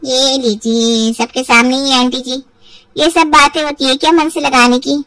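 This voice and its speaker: Lady doing whiny spiteful sister in law for a cartoon story, high voice, sarcastic, bit off mic. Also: fairly even intonation, moderate pace, fairly bright tone, dry recording, distant mic, rough recording. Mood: sarcastic taunting. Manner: sarcastic, complaining, theatrical. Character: spiteful, whining married younger sister-in-law, forever taunting. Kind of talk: acted Hindi moral story for cartoon.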